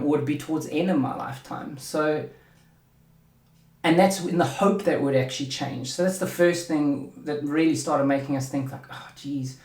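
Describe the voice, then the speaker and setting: A man's voice: a little high, a little mellow. South African man, roomy sound. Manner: rambling, repeats words while searching for them. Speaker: podcast host. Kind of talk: casual solo podcast talk.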